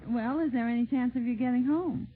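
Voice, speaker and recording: A woman's voice: fairly high pitch, fairly quick, phone-quality sound. A woman in casual personal chat with a serviceman over a long-distance 1940s radio link.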